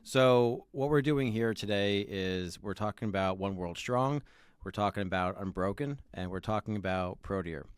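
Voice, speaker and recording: A man's voice: lowish voice, moderate pace, smooth, no echo, studio quality. A man hosting a company podcast, speaking with enthusiasm and often repeating his words. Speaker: podcast host.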